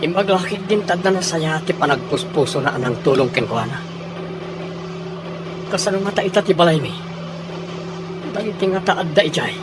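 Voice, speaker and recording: An actor playing a concerned, determined grown son in a radio drama, with an Ilocano accent. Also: male voice, a little high, lively intonation, moderate pace, long pauses, lightly acted. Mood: worried, determined. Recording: clear vehicle noise behind voice.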